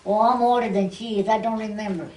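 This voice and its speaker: A woman's voice: mid-range voice, fairly even intonation, fast talker, darkish tone. A ninety-year-old woman reminiscing casually and plainly, often repeating her phrases. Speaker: oral history interviewee. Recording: echoey room, distant microphone.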